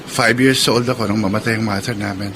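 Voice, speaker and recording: A man's voice: on the low side, steady tone, fairly quick, fairly bright tone, a little hiss or hum, close to an everyday voice. An actor playing a sensitive, polite young man grieving his sister, in a Filipino radio drama with a Filipino accent. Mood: wistful, sorrowful. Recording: music bed behind the voice.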